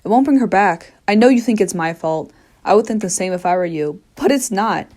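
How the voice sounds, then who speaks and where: An actress playing an anxious doctor in a radio play, earnest and pleading. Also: female voice, mid-range voice, lively intonation, a little fast, darkish tone, lightly acted. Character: anxious, reasoning doctor facing a gun. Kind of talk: dramatic radio play acting.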